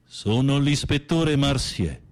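A man's voice: medium pitch, lively intonation, slow, voice pushed up. A man voicing a stern French police inspector, formal and commanding, as in film dialogue retold within a radio play.

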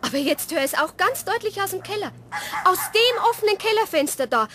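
An indignant, soft-hearted Bavarian woman on the street. She speaks very high and insistently, in radio play style, with a crowd audible around her. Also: a little fast. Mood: concerned. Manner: insistent, excitable. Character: insistent, indignant, compassionate female passer-by. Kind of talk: German radio play acting.

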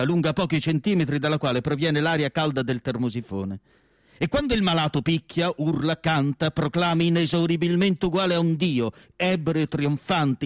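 A man reciting literary prose as a radio theatre monologue, solemn and declamatory, with deliberate phrasing and dramatic pauses. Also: fairly high pitch, no room sound, studio quality.

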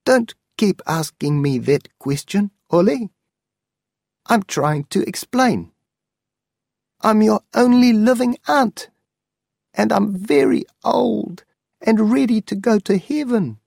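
A man's voice: high-pitched, lively intonation, slow, vocal fry, long pauses, a little mellow, no room sound, studio quality, pitched above the performer's own voice, exaggerated voice. A man voicing a very old woman, an anxious, pleading aunt in a storybook reading.

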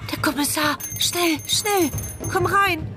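A woman's voice: very high voice, takes it slowly. An actress playing an anxious woman sleuth who cannot speak, narrating her intense thoughts in a radio play. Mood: terrified. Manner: intense, brooding inner monologue. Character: mute paralysed woman sleuth, anxious inner voice. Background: music.

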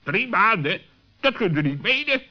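A man's voice: high voice, sing-song, moderate pace, pitched above the performer's own voice. A man voicing a cheeky, blunt, bickering bird in a children's radio play. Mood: impatient. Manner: blunt, sharp-tongued. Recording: muffled old sixties recording.

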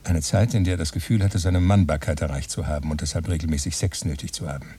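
A pedantic radio-play narrator who reckons and itemizes in a dry way. The voice is deep and mellow, set a little back from the microphone. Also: male voice, steady tone, fast, slightly rough, distant mic. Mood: dry, reckoning. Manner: matter-of-fact, enumerating.

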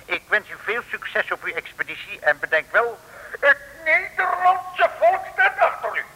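An actor playing a pedantic, officious government clerk in an old comic radio play, speaking formally. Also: male voice, wildly swooping pitch, normal speed, pushed and bright, husky, exaggerated voice. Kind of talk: 1950s-60s Dutch comic radio play acting. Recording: old radio recording, boomy reverb.

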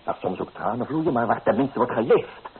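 A man's voice: mid-range voice, animated, moderate pace, slight background noise, natural voice. Tinny radio-play recording, actor as sardonic flirtatious man. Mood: passionate. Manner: teasing, theatrical, persuasive.

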